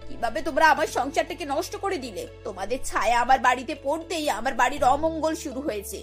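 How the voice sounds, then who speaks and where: A woman's voice: high-pitched, expressive, fairly quick, faint music behind. A woman voicing a worried, wavering middle-aged mother-in-law in a cartoon, fretful and emotional. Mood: angry. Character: worried, wavering mother of grown sons, easily swayed mother-in-law. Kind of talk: cartoon voice acting.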